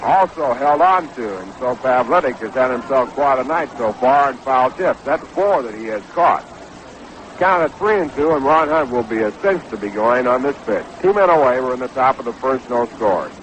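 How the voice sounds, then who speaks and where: An American man calling baseball play-by-play on the radio in a measured, descriptive way. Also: medium pitch, lively intonation. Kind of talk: live radio sports commentary. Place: ballpark broadcast booth. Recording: noisy 1960s AM radio broadcast.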